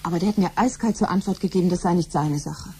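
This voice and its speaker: An actress playing a gentle, worried middle-aged mother in a 1970s German radio play, soothing and pleading. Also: on the low side, quick pace, slightly rough.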